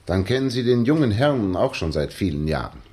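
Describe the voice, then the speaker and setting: An actor in a 1960s German radio drama playing an earnest young former soldier, polite but insistent. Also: male voice, on the low side, big pitch swings, a little fast, darkish tone, slightly rough. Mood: friendly, probing. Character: earnest, persistent young ex-soldier seeking his friend.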